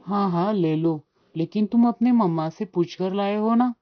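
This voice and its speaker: A woman's voice: medium pitch, unhurried, close to an everyday voice. A woman voicing a cartoon pigeon who calls out like an ice-cream hawker. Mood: brisk hawking. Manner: calling out, hawking. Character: pigeon ice-cream vendor calling his wares. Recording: dull lo-fi sound.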